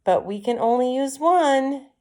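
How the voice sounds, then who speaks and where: A woman's voice: high voice, expressive, measured pace, a little mellow, pitched above the performer's own voice. A friendly female secretary from a children's story, as a woman narrator reads her. She is helpful and explains things in simple, everyday speech.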